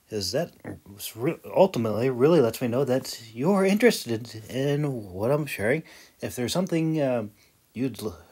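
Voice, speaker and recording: A man's voice: mid-range voice, breathy edge. A man giving a casual, rambling vlog voice-over in a hesitant, self-deprecating, chuckling way. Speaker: video creator and hobby audiobook narrator.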